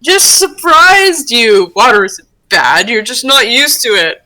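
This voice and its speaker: A woman's voice: on the high side, lively intonation, takes it slowly, sharp tone, full character voice, rough recording. A woman voicing a playful male creature with a tail, talking in a casual, teasing and reassuring way in an amateur roleplay reading. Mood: amused, reassuring.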